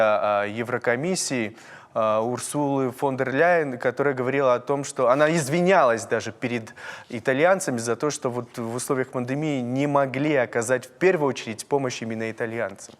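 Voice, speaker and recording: Ossetian male TV host, reverberant studio. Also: mid-range voice. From North Ossetia. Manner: measured, searching phrasing with fillers. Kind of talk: TV interview and sign-off.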